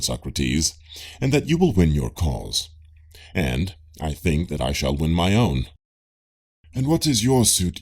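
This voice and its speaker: Male audiobook reader for classical texts: low, slightly rough voice, lively intonation, measured and formal. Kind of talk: scripted reading of a classical dialogue.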